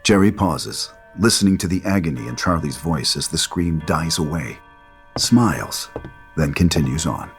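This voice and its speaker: Casting: male narrator for stage directions, deep register, dramatic storytelling over a clear musical score. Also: animated, normal speed, faint music behind.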